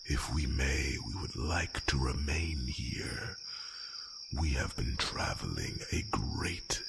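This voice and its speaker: A man voicing an ancient, courteous, proud dragon in a fantasy ASMR roleplay, speaking formally in a gravelly, breathy near-whisper with rumbling hums. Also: exaggerated voice. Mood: courteous, solemn. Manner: slow, formal, drawn-out phrasing with rumbling hums. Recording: noisy track with loud nature ambience.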